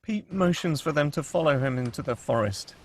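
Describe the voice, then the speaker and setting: Male narrator for screenplay table read. Slightly high pitch, husky tone, scripted action delivery. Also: expressive, a little fast.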